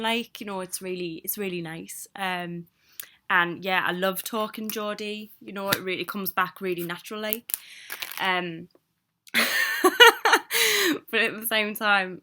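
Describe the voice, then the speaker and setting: A young woman with a Midlands accent, chatting excitedly in a casual video message, with lots of ums and laughs. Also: mid-range voice. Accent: English Midlands. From born in Newcastle, raised in the English Midlands. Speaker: travel blogger.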